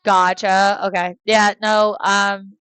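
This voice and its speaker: Slow, rambling American woman streamer. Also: mid-range voice, little pitch movement, fairly bright tone, clean tone, no room sound. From United States. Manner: rambling, hesitant, many fillers, talks to her kids between points. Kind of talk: casual chat while playing a life-sim game live. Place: home room.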